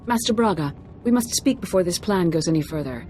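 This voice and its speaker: An actress playing a composed, authoritative Jedi council master in a video game, formal and diplomatic. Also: female voice, lowish voice, expressive, fairly quick, mellow, soft background music, natural voice. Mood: calm, firm. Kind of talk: cinematic video game acting.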